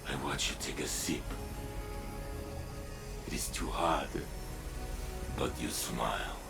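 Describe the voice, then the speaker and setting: Heroic robot warrior for a game: gravelly, slow, theatrical and halting, music underneath. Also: male voice, heavily acted. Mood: anguished, tender. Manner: halting, theatrical, booming at peaks. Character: heroic male warrior robot, grand, tender, fervent. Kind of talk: dramatic video game voice acting.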